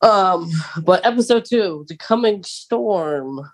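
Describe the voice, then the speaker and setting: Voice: mid-range voice, lively intonation, slow. A podcast co-host in casual chat, talking hesitantly with many fillers and restarts while steering the conversation with questions.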